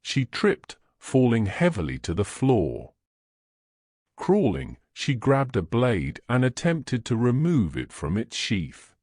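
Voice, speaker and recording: Male narrator for fantasy fiction: unhurried, very expressive intonation, dry close sound. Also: mid-range voice, no room sound. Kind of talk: scripted storytelling narration.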